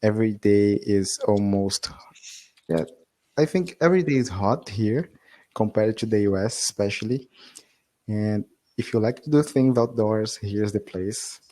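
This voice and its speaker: A man's voice: on the low side, measured pace, dark tone. A man with a Brazilian accent, an English learner whose first language is Portuguese, talking on a video call in an eager, hesitant way with frequent laughs. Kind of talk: casual video-call lesson.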